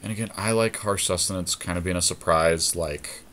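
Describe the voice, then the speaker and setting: A man co-hosting a podcast, casually commentating on a game and thinking out loud as he reasons through each move. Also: low-pitched, a little fast, slight background noise. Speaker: podcast co-host.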